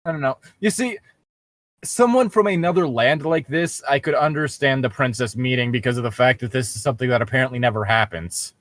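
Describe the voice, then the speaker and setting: A man's voice: mid-range voice, brisk, a bit bright, no echo, studio quality. A man co-hosting a comic read-aloud, mocking the story with sweary casual asides. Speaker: co-host reading stories aloud.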